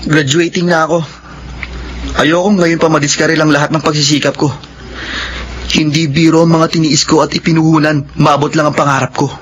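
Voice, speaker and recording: An actor playing an earnest, hardworking young Filipino college student, polite and respectful, in a radio drama. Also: male voice, fairly high pitch, level tone, normal speed, fairly bright tone, slight background noise, lightly acted, low-quality recording. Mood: apologetic, polite. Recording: dramatic music behind the voice.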